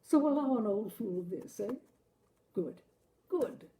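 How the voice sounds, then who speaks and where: Woman reading as amused old artist, mellow, unhurried. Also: mid-range voice, expressive. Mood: amused, apologetic. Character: elderly male artist, amused, self-deprecating, gently playful.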